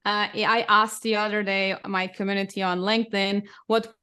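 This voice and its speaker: A woman's voice: mid-range voice, level tone, normal speed, pushed and bright, clean tone. A woman from Portugal, Portuguese as her first language, speaking as a guest on a podcast in enthusiastic casual chat. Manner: enthusiastic, laughs often.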